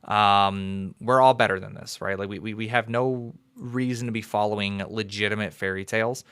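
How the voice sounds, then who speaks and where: A man's voice: on the low side, steady tone, normal speed, a bit bright, clean tone, dry recording, studio quality. A young man from the United States hosting a live call-in debate stream, talking casually with many fillers and an upbeat sign-off. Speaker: livestream host.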